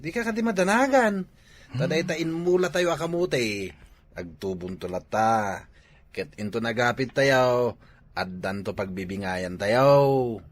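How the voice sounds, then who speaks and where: A man's voice: mid-range voice, a little slow, natural voice. A man with a Filipino Ilocano accent acting a carefree, lazy young villager who likes a drink, in an amateur comedy drama. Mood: lazy, unworried.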